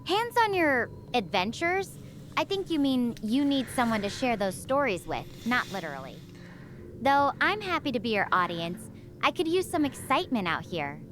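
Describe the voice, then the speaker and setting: A woman's voice: fairly high pitch, very animated, moderate pace, fairly bright tone, a little hiss or hum. A synthetic woman's voice playing a stranded young space researcher, chatty and full of questions. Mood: curious. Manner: chatty, curious, asks many questions. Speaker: astrophysics researcher.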